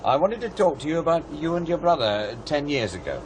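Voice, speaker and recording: An actor playing a polite radio journalist with a halting, tentative manner in an audio drama. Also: male voice, a little high, big pitch swings, background noise.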